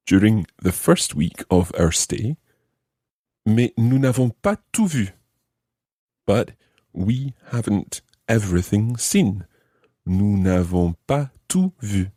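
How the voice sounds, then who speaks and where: Deep, slow, mellow male language teacher. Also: dry recording, clean studio sound. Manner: didactic, careful enunciation. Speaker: language teacher, podcast host. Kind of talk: explanatory lesson, translating phrase by phrase.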